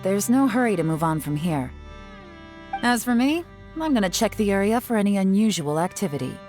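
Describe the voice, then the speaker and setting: A woman's voice: medium pitch, animated, brisk, a little mellow, close to an everyday voice. A woman playing a cool, composed, self-possessed woman in a video game. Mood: calm, brisk. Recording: faint music behind the voice.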